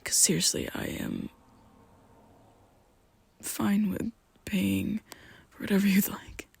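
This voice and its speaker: A woman voicing a shy, tender young male vampire best friend in an ASMR roleplay, whispering softly with hesitant, halting speech. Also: mid-range voice, sing-song, vocal fry, breathy edge, heavily acted.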